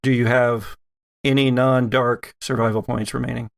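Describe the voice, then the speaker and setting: A man's voice: mid-range voice, little pitch movement, moderate pace, creaky, smooth. A man acting as game master, narrating a tabletop role-playing game dramatically with lingering, descriptive phrasing.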